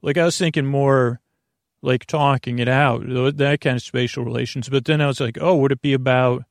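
A man hosting a bedtime podcast with a meandering, off-topic monologue, halting and often restarting his sentences as he trails off. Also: medium pitch, steady tone, no room sound, clean studio sound. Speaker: podcast host.

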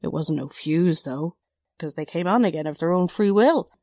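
An actress as a defensive working-class woman in an old-time radio play. Her voice is on the low side and dry, with folksy, ungrammatical phrasing and a quickly riled temper. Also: dark tone, no echo, close to an everyday voice. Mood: puzzled. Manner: folksy ungrammatical talk, indignant. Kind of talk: 1940s radio drama acting.

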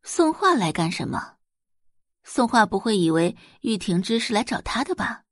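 A woman's voice: medium pitch, very animated, normal speed, dry recording, studio quality. A woman voicing a haughty, spiteful, scheming young woman in an audio drama, scornful and melodramatic. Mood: disbelieving, scornful.

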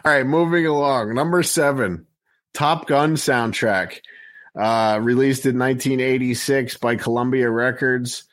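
A man hosting a podcast, reading out a track list in a plain, steady way with many fillers. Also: mid-range voice, measured pace, no room sound. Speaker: podcast host.

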